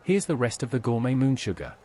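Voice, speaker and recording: A man's voice: medium pitch, big pitch swings, fairly quick, a little mellow, a little hiss or hum, natural voice. A man playing a friendly, encouraging adventurer in scripted video game dialogue.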